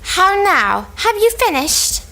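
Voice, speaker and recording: A woman's voice: very high-pitched, sing-song, slow pace, bright, forceful tone, full character voice, poor audio. A woman playing a scheming, menacing old sorceress in a cold read of an Elizabethan stage play. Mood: threatening.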